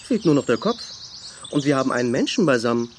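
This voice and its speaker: A man plays an odd, deliberate character in a radio thriller. His voice is mid-pitched and very animated, with clear birdsong around it. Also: a little hiss or hum, close to an everyday voice. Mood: mounting, pointed. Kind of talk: German radio crime drama acting. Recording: clear birdsong and ambience behind.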